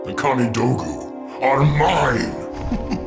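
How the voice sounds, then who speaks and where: A man's voice: mid-range voice, very animated, extremely slow, full character voice. Evil dragon king for a fantasy game, gloaty n pompous, echoey voice, music behind. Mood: triumphant. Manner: pompous, theatrical, menacing. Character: male demonic dragon king, gloating and imperious. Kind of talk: dramatic game villain acting. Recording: echoing, loud music behind.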